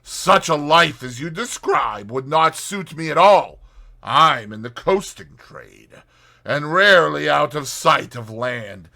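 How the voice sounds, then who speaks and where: Man playing a worldly seafaring rat, animated storyteller. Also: fairly high pitch, takes it slowly, creaky, heavily acted. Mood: frank, easygoing. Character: worldly seafaring rat, boastful, savoring old voyages. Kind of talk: dramatized audiobook character reading.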